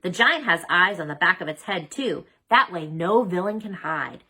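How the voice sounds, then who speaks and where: A woman voicing a proud, kindly inventor father who explains gently in a children's storybook. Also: on the low side, expressive, moderate pace. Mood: proud, explaining. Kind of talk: children's storybook read-aloud.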